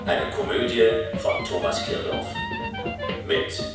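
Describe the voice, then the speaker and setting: Credits announcer: man, slow and measured, far off in a very echoey room, loud guitar music behind. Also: very high-pitched, wildly swooping pitch.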